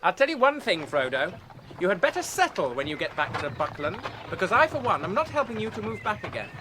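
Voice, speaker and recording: An actor playing a cheerful, brisk young hobbit in a radio fantasy drama, chatty and teasing. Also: male voice, high-pitched, very animated, noisy background.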